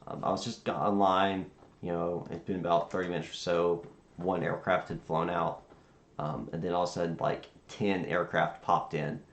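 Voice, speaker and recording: A man streaming as a virtual air traffic controller, chatting casually in a halting, rambling way as he thinks aloud. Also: deep voice, little pitch movement. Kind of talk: casual livestream chat. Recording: roomy sound with faint background noise.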